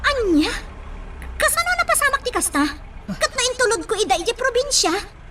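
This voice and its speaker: An actress with a Filipino accent playing a demanding, suspicious young daughter in a radio drama. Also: female voice, very high voice, sing-song, moderate pace, a little hiss or hum, exaggerated voice. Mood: alarmed. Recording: distant mic under loud music.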